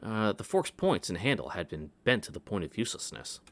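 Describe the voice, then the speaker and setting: A man's voice: fairly low pitch, sing-song, brisk, a bit bright. A man reading a visual novel aloud as a let's-play host, breaking off into casual asides.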